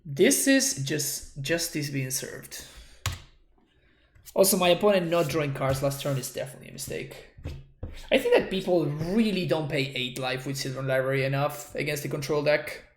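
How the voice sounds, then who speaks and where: A man's voice: fairly high pitch, expressive, normal speed, echoey room. Man with a Spanish accent, thinking aloud. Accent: Spanish-accented English. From Argentina. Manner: thinks aloud, wry and self-deprecating, frequent restarts. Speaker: streamer. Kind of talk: casual gameplay commentary.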